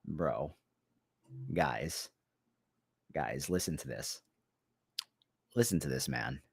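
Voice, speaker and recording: Casual male streamer, deep voice, excitable, restarts a lot; dry sound. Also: slow pace, creaky, breathy edge, dry recording. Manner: chatty, excitable, repeats himself. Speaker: livestream host. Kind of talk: casual livestream talk.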